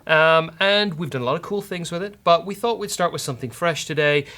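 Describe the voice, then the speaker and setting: A man with an Irish accent hosting a casual live-coding demo, dry, teasing and halting. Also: on the high side, bright, forceful tone. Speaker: host, software developer.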